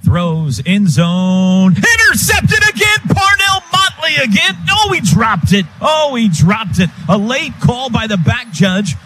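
An American man calling live football on the radio as play-by-play announcer, in clipped phrases that build toward excited calls. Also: very high-pitched, takes it slowly, few pauses. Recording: stadium booth with loud crowd noise.

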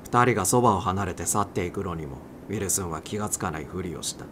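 A man's voice: sits low, animated, fast. A man reading a story aloud as a dramatic audiobook narrator. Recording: clear background noise.

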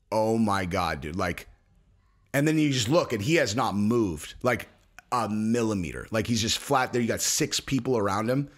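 A man's voice: medium pitch, a bit bright. Intense, swearing man ranting at a desk mic. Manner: intense, profane, emphatic, with lots of fillers and restarts. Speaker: MMA commentary show host. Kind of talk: heated solo commentary to camera. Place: home studio with screens of fight photos behind. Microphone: desk podcast mic in front.

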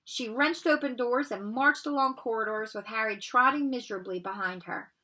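Bright-voiced woman reading a story aloud. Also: fairly high pitch, normal speed, low-quality recording. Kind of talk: expressive children's novel read-aloud. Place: bedroom at home, indoors.

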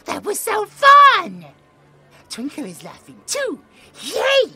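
A man's voice: extremely high pitch, huge pitch swings, slow pace, pushed and bright, soft background music, heavily acted. A British man voicing a childlike, innocent yet eerie possessed young man who speaks of himself in the third person with baby talk, in an audio roleplay. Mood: giddy excited. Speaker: voice actor.

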